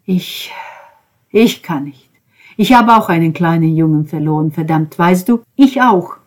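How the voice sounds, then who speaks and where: A woman reading as a grieving, bitter young man, a drummer, in an intense first-person monologue that veers between hushed whispers and outbursts. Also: lowish voice, unhurried, natural voice. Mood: anguished. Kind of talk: dramatic audiobook reading.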